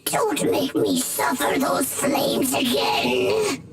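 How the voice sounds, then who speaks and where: A woman's voice: high-pitched, wildly swooping pitch, slow, exaggerated voice. A woman voicing a captured villain cultist in a video game, theatrical and haughty. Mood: pleading. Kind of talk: video game cutscene dialogue. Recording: game audio with loud music.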